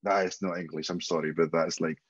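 A man's voice: low voice, flat delivery, fairly quick, dull audio. A man in his thirties from Spain with a Spanish accent, a guest speaking candidly on a video call and swearing freely. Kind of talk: casual interview chat. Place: home room, indoors.

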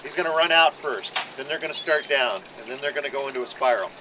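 A man's voice: mid-range voice, quick pace. A middle-aged man, a fishing boat captain, giving a practical, plain-spoken briefing to a group on the deck of a docked boat. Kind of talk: instructional briefing to a charter group. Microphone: camera mic from a few feet away. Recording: outdoor camera audio with clear background noise.

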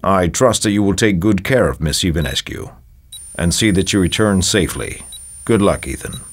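Low-voiced, formal spy agency director. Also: male voice, animated. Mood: grave, measured. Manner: formal, measured, grave. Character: authoritative male spy agency director giving a briefing. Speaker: mission briefing narrator. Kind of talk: scripted mission briefing narration.

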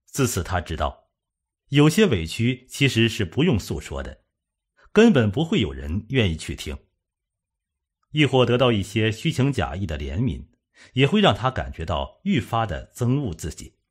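Husky, low-voiced male storyteller, highly expressive. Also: normal speed. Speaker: audiobook narrator. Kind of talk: dramatic scripted suspense novel narration.

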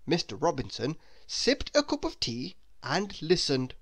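Animated children's storybook narrator. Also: mid-range voice. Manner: expressive, measured storytelling. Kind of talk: children's read-aloud narration.

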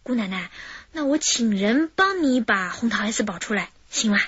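A woman's voice: fairly high pitch, lively intonation, normal speed, fairly bright tone, breathy edge, dry recording. An actress playing a wary young woman from Anhui who acts as a cautious go-between in a radio drama. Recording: slightly dull broadcast audio with faint hiss.

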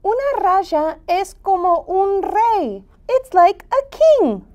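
Children's puppet sidekick: tiny curious monster, bilingual, squeaky-high, swooping intonation. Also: female voice, lively intonation, slow pace, darkish tone, muffled recording, full character voice. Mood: explaining. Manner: switches between Spanish and English, simple childlike phrasing.

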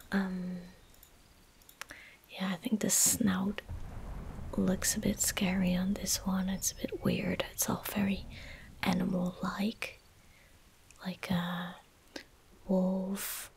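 Young woman, breathy whisper, slow pace, ASMR game commentary, close desk mic. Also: mid-range voice. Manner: slow, unhurried, gentle murmuring. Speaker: ASMR streamer. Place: small indoor room at a desk. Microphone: close desk microphone.

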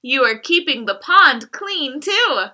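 A woman reading the lines of a cheerful, caring little girl in a gentle, sing-song storybook way. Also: sits high, very animated, slow pace, full character voice.

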